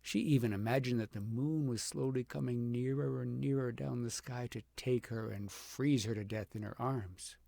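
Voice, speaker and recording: A man narrating a fairy tale aloud as an audiobook, with dramatic, deliberate phrasing that swells for emphasis and drops to a hush. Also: mid-range voice, vocal fry. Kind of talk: expressive storybook narration.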